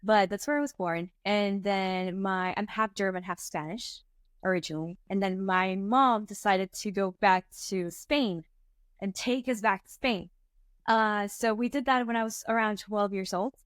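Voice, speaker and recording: A young woman in her twenties from Venezuela, telling her story as an interview guest in a chatty, animated way with many fillers. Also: medium pitch, measured pace, clear, resonant tone, dry recording, clean studio sound. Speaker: startup co-founder, guest. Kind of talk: casual interview talk.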